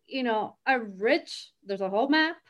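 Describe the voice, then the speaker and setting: A woman's voice: fairly high pitch, expressive, normal speed, highs cut off. A woman speaking as a guest in a casual interview, talking freely and often adding "you know" and "right?". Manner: talkative, peppers speech with "you know" and "right?".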